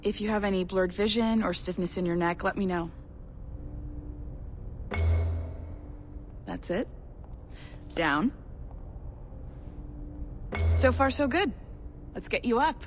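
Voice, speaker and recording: A woman's voice: mid-range voice, a little slow, pauses often, a little hiss or hum, natural voice. A woman playing an upbeat, friendly studio guide in a video game, talking in an encouraging way. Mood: attentive, reassuring. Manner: encouraging, slipping into French greetings. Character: upbeat, friendly female studio guide welcoming a new hire. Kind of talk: scripted video game acting.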